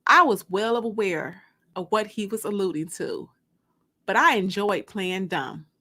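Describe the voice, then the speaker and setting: A woman giving an expressive, dramatic first-person reading of fiction, like an audiobook. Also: mid-range voice, a little slow. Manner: expressive, acts out dialogue. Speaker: narrator reading fiction aloud.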